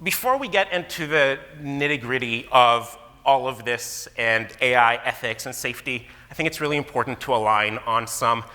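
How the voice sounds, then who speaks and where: Bright-voiced man presenting in a hall. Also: medium pitch, normal speed, few pauses. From Israel. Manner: casual, animated presenter with fillers. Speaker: guest speaker. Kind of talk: conference talk. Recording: long hall reverb.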